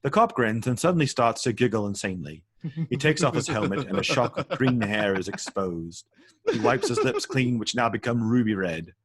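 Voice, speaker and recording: A man's voice: mid-range voice, expressive, moderate pace, husky, dry recording, studio quality. A man reading scripted stage directions as narrator of a table read.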